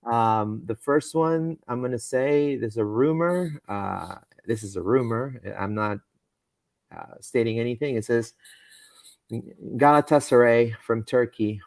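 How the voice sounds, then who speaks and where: A man's voice: medium pitch, measured pace, vocal fry, dry recording. A man hosting a casual live soccer stream, rambling with lots of fillers and stumbles as he reads comments and rumors. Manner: rambling, with frequent fillers and repeated words. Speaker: podcast host.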